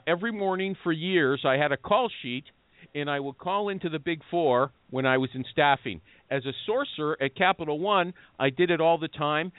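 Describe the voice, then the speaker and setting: A man hosting a casual panel chat, brisk and jokey as he steers the talk. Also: fairly high pitch, no echo. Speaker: podcast host. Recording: tinny phone-line audio.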